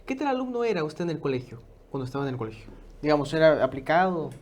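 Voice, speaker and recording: A man hosting an interview, firing off curious questions at speed. His pitch is fairly high and moves a lot. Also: very animated, fast talker. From Peru. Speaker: interview host.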